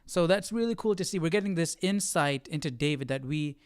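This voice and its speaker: A man hosting an informal Bible study, teaching casually and thinking aloud with halting, repeated phrases. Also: on the high side, clear, resonant tone, dry recording, clean studio sound. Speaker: host of a Bible study live stream.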